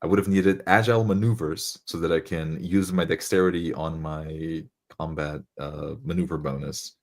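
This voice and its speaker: Deep-voiced man chatting casually. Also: fairly even intonation, moderate pace. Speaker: tabletop roleplaying player. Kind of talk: casual chat after a game session.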